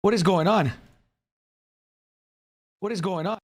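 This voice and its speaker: A young man hosting a casual podcast, excitable and hyped, tripping over his words as he introduces guests. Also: high-pitched, takes it slowly, slight background noise. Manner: excitable, hype introductions, frequent restarts. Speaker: podcast host.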